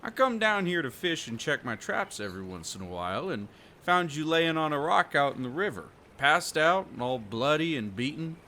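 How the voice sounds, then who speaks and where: A man's voice: a little high, big pitch swings, moderate pace, hardly any pauses, bright, forceful tone, slight background noise, voice pushed up, heavily acted. A man voicing a kindly, plain-spoken rustic trapper in an audio roleplay, folksy and reassuring. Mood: concerned, friendly. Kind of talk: audio roleplay character acting.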